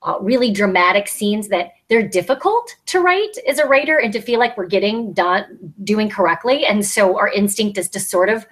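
A woman's voice: medium pitch, animated, normal speed, few pauses. A woman in her thirties speaking as a podcast panelist in a casual discussion, on an earbud mic over a video call from a small room. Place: small plain room, indoors. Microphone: earbud headset on a video call.

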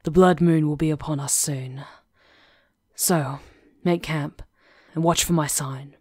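A woman's voice: low voice, fairly even intonation, slow pace, a little mellow, no echo, clean studio sound. Woman playing a blunt, fierce female werewolf chief. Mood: commanding. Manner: blunt, crude, commanding. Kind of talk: ASMR roleplay acting.

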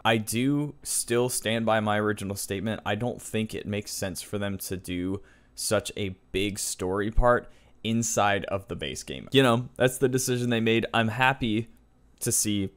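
Low-pitched man giving casual gaming commentary. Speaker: video game streamer.

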